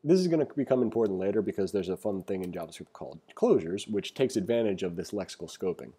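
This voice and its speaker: A man giving a casual tutorial as an instructor, reading aloud and commenting informally as he thinks out loud. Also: sits low, lively intonation, quick pace, slightly rough. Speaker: programming instructor. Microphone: screen-recording voice-over.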